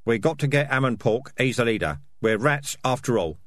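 A scrappy male rat fighter acted by a man in a storybook reading, pitched up and hard-edged. He is blunt, snappish and suspicious, fiercely loyal to his clan. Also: lively intonation, moderate pace, no room sound, clean studio sound, lightly acted. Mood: urgent.

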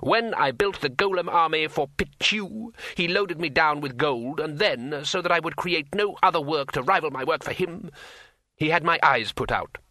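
Man acting a proud, forceful master craftsman. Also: a little high, moderate pace, no echo, voice pushed up. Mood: bitterly matter-of-fact. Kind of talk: audiobook character voice.

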